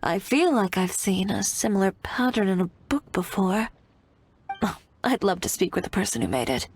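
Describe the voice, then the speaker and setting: A woman's voice: medium pitch, expressive, normal speed, a little hiss or hum, natural voice. An actress playing a poised, appreciative young woman designer in a video game, with polished, articulate delivery. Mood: admiring, curious. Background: music.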